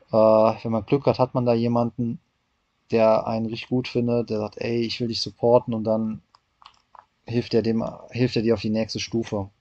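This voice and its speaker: Halting, gravelly man in his thirties. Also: lowish voice, darkish tone, dry recording, lo-fi sound. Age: 30s. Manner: hesitant, many fillers and restarts. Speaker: racing driver guest. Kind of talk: casual interview answers. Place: hotel room.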